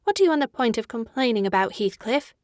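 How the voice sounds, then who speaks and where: A woman's voice: on the high side, sing-song, fast talker, darkish tone, no room sound, clean studio sound. An actress playing a petulant, haughty teenage girl in a dramatic audiobook, peevish and imperious. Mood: irritated, peevish.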